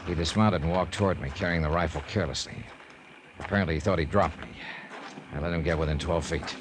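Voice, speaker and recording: A man's voice: deep voice, quick pace, lightly acted. An actor playing a tough, cool, methodical investigator who narrates his own case in a clipped, dry 1950s radio detective style. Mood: dry, tense narration. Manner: clipped, dry, probing, hard-boiled. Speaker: lead actor and first-person narrator. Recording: noisy 1950s radio recording.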